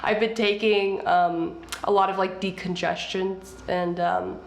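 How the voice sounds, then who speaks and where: A woman's voice: mid-range voice, flat delivery, a little slow, vocal fry. A young woman with a stuffed-up nose from a cold, chatting casually as she reviews makeup. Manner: chatty, gushing, many fillers. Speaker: beauty vlogger. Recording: echoey room.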